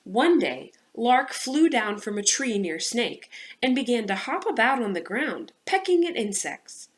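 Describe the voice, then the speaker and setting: A woman speaking as a music teacher, presenting and reading children's material in an expressive, instructive way. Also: a little high, a little slow. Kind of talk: instructional presentation, then storybook read-aloud.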